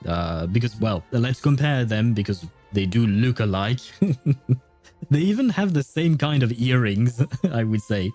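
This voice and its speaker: Mellow, chatty male game streamer. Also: medium pitch, lively intonation, measured pace, soft background music. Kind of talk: casual livestream commentary, reading game dialogue aloud.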